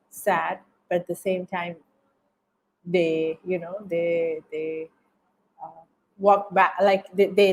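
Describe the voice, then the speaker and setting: A woman from Bangladesh with a Bangladeshi accent, giving reflective interview answers as a guest, halting and often repeating phrases as she searches for words. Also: on the low side, darkish tone, low-quality recording. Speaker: interview guest, documentary filmmaker.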